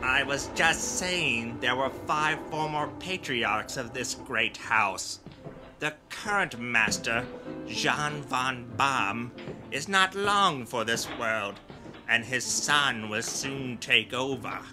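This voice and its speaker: A man's voice: mid-range voice, slow, music in the background, lightly acted. A man playing an eccentric old butler in a comedic horror audio drama, formal and fussy, drifting into unhinged crooning. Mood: formal, grandiose. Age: older. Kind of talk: comedic horror radio-play acting.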